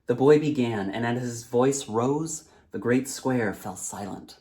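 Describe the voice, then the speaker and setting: A man reading a storybook aloud as its author, in a dramatic, measured narrating style. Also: medium pitch, a little mellow.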